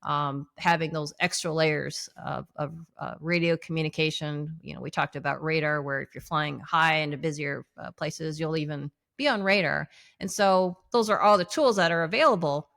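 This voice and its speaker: A woman's voice: low voice, normal speed, gravelly, a bit bright, no echo, studio quality. A woman speaking casually as an interview guest, sharing personal experience as a pilot and instructor.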